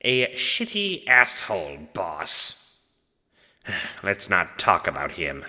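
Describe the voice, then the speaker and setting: A man's voice: low voice, huge pitch swings, slow pace, bright, forceful tone, long reverb tail, heavily acted. A man voicing Death, a big, dim, blunt god with a slangy, casually profane way of talking, in an audio drama. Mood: bitter. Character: Death, big lug god of double death, foul-mouthed, dim, blunt, bitter.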